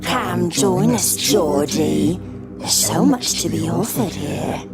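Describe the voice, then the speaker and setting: A woman's voice: lowish voice, expressive, vocal fry, over loud music, heavily acted. An actress playing a possessed young sister in an audio drama, drawing out her words as she beseeches. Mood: eerily luring. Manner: drawn-out, beseeching. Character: possessed young sister, luring and pitifully pleading.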